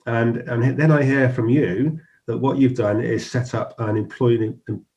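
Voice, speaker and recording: A man's voice: mid-range voice, fairly even intonation, a little slow. Hesitant middle-aged male business host. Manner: warm, hesitant, many restarts and fillers. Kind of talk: business interview chat.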